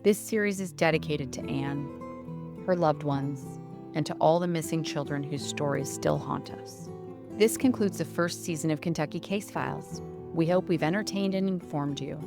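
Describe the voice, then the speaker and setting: A woman's voice: low voice, normal speed. A woman hosting a true-crime podcast, reading scripted narration. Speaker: podcast host. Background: guitar music.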